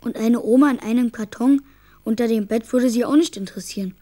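A woman's voice: a little high, fairly quick, warm tone, lightly acted. A woman playing an imaginative, secretive and stubborn little schoolboy in a children's radio play, cheeky and musing in manner. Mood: resentful, determined.

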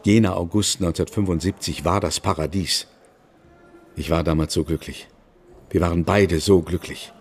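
Low-voiced older novelist, confessional. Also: male voice, fairly even intonation, breathy edge. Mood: wistful, nostalgic. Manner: measured, confessional storytelling. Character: older male novelist in prison, confiding, haunted, nostalgic. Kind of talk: German radio drama acting.

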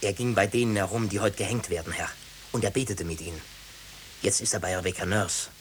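An actor plays an uneasy court official, a man with a fairly low voice who speaks cautiously and deferentially. It is 1950s German radio drama, with slight hiss on the recording. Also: fairly quick, natural voice. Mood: uneasy, respectful.

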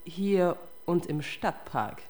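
A woman's voice: lowish voice, takes it slowly, mellow. An actress playing a tough, relentless female police detective in a German radio crime drama, confrontational and sardonic. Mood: pressing.

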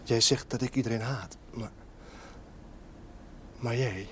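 An actor playing an embittered, lovesick young male outcast in a television drama, with a slow, brooding, softly taunting, theatrical delivery. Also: medium pitch. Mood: menacing longing. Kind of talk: 1970s Dutch television drama acting. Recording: noisy old television soundtrack.

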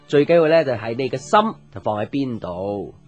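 A man's voice: mid-range voice, normal speed. An actor with a Hong Kong Cantonese accent playing a playful, teasing young man, a caring friend, in a light conversational radio drama. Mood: teasing, curious. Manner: teasing, joking, animated with exclamations. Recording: music under the voice.

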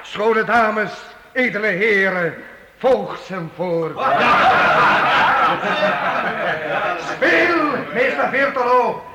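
A man's voice: extremely high pitch, exaggerated voice. A man acting a humble wandering minstrel in a radio drama, ceremonious and flowery in his declaiming. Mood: proclaiming, jubilant. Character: humble wandering minstrel, courtly, theatrical beggar-singer. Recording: echoey old radio play, loud crowd.

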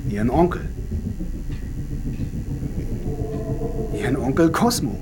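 A man's voice: medium pitch, big pitch swings, quick pace, noisy background, natural voice. A male actor playing a sceptical, defensive security officer in a radio drama, blunt and offhand in his replies. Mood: wary.

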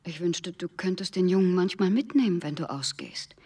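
An actress playing a devoted wife, gentle and coaxing, protective of her family, in a radio drama. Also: on the low side, normal speed, breathy edge. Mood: coaxing, anxious.

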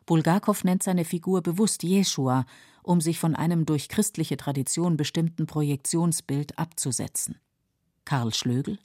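Woman narrating a radio documentary, soft dark tone, close dry studio sound, measured. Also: low voice, no echo. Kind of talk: scripted literary feature narration.

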